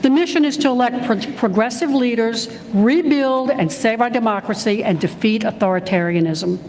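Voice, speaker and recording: Female activist speaker, fairly high voice, prepared speech, reverberant hall with some noise. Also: normal speed, darkish tone. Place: large church hall, live event. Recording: noisy, reverberant hall PA recording.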